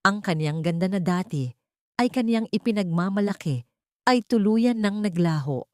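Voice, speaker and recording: A woman narrator voicing a soft-spoken, respectful female secretary in an audio story. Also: medium pitch, animated, normal speed, dry recording, studio quality, natural voice. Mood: calm reporting. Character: Sofia, gentle dutiful female secretary reporting to her boss.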